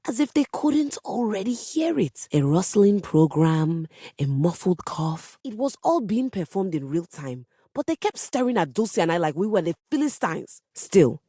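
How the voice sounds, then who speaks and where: Pompous, low-pitched theatrical piano diva. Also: female voice, mellow, no room sound, studio quality, exaggerated voice. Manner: pompous, florid, dramatic delivery with musical flourishes. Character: grand, theatrical diva piano, a self-important concert performer. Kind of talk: video game character acting.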